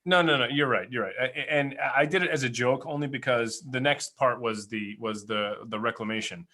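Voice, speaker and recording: A man's voice: mid-range voice, fairly quick, pushed and bright. A man co-hosting a casual podcast, talking as an opinionated fan and often repeating and rephrasing himself. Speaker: podcast co-host.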